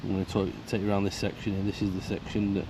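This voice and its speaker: A man narrating a casual walk around a park fishing lake, croaky from illness, chatty and grumbling about the council. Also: on the low side, completely flat delivery, rapid-fire. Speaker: angler vlogger. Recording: outdoor camera audio with faint background noise.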